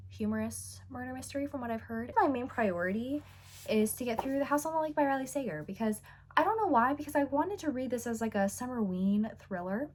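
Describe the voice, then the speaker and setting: A woman book vlogger with a hoarse, fading voice, chatting casually and rambling with lots of fillers and restarts. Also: medium pitch. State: hoarse, losing her voice. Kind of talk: casual chat.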